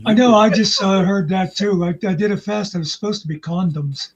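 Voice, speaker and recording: A man's voice: sits high, fairly even intonation, a little fast. A man chatting casually on a live stream, dry and sarcastic. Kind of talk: casual chat. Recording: muffled lo-fi audio.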